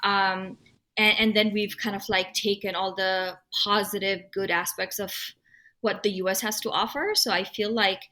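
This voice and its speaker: Woman from Nepal, panel guest, sharp bright voice, lively and emphatic. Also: mid-range voice. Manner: animated and emphatic, quick to laugh at herself. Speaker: podcast panelist. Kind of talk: casual panel discussion.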